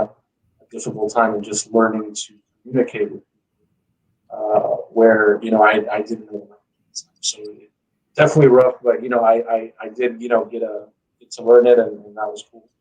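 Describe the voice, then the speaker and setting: A man's voice: lowish voice, level tone, fairly quick, darkish tone, distant mic, low-quality recording. A man telling his story as a guest in a casual interview, speaking informally with lots of "you know". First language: English.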